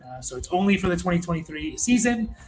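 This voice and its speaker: A man's voice: high voice, sing-song, fast talker, soft background music, poor audio. A man hosting a fantasy football show in a casual, conversational sports rankings style.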